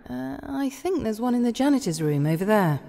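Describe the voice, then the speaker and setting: An actress playing a polite, helpful woman curator in an audio drama, halting and deferential as she explains. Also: a little high, very animated, gravelly, dark tone. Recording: echoing hall reverb.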